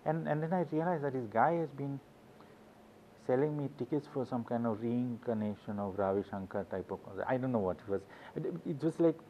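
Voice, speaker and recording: A middle-aged man, an author, talks in English in a rambling, anecdotal way, often stopping to restart his sentences. His pitch sits mid-range for a man. The recording sounds dull and slightly lo-fi, with a faint hiss behind him. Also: brisk. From Sweden. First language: Swedish. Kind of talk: casual interview talk.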